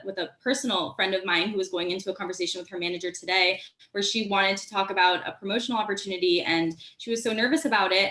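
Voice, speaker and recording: Bright woman panelist, echoey room. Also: medium pitch. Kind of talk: panel discussion. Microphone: video call.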